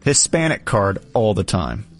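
Teasing male radio host, lively pitch. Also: unhurried, faint music behind. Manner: teasing, mock-formal. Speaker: radio sports talk host. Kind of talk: bantering radio talk segment. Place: radio studio.

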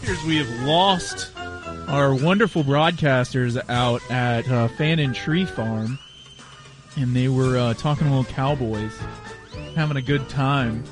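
A man's voice: medium pitch, a little slow. Man, radio host, upbeat, light laugh, music in background. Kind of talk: filling air time with banter.

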